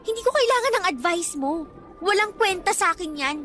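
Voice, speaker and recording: A woman's voice: extremely high pitch, expressive, a little fast, pushed and bright, faint music behind, heavily acted. An actress playing a bitter, spiteful eighteen-year-old female student in a radio drama, defiant and sneering. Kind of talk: Tagalog radio drama acting.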